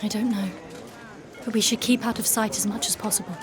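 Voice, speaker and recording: An actress playing a proud, guarded female knight in a dark fantasy audio drama, with formal, commanding, measured diction. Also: mid-range voice, steady tone, crowd noise, natural voice. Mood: wary.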